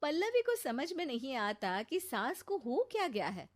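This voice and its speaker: A woman's voice: high voice, sing-song, unhurried, dry recording, studio quality. A woman narrating a scripted cartoon story as a storyteller.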